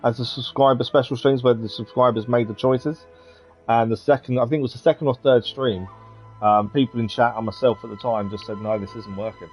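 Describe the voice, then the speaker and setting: A man's voice: medium pitch, steady tone, fairly quick, darkish tone, breathy edge. A man live streaming a game, talking casually with his chat in a conversational, explanatory way. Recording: slightly dull mic over game music.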